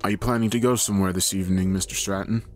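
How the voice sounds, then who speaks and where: Man playing a stern, gruff police officer. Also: sits low, steady tone, racing, soft background music, close to an everyday voice. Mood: probing. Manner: growling, formal, demanding.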